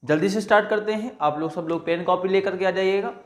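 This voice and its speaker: A man teaching a commerce lesson. He reads out definitions slowly, then explains them step by step and speaks straight to his students. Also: high-pitched, echoey room, background noise. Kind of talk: classroom lecture.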